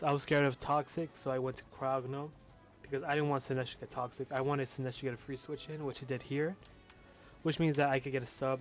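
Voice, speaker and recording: A young man with a lisp casually commentating his own competitive match, talking loosely with fillers and the odd swear word. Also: mid-range voice.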